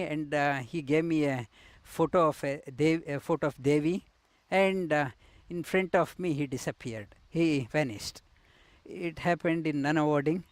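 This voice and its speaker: A middle-aged man from India, a yoga teacher, telling his life story with many pauses, fillers and restarts. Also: on the high side, no room sound. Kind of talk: personal life story interview.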